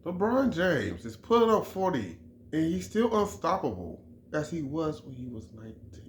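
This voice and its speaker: A man's voice: fairly high pitch, sing-song, normal speed, slight background noise. A middle-aged man from Chicago giving an emphatic, repetitive sports opinion in a casual vlog, often restarting his phrases. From Chicago, USA. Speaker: vlogger.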